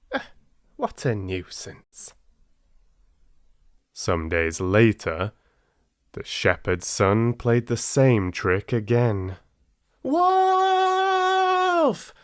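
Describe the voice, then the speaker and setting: A man reading a storybook tale aloud as an audiobook narrator, with expressive, dramatic and deliberate delivery. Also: lowish voice, clear, resonant tone, no echo, studio quality.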